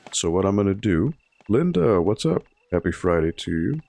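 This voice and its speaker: Library stream host, man in his thirties, hoarse and raspy, low gravelly voice, relaxed and chatty while he plays. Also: moderate pace. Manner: relaxed and chatty, explains each step while playing. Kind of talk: casual gameplay commentary to a live chat.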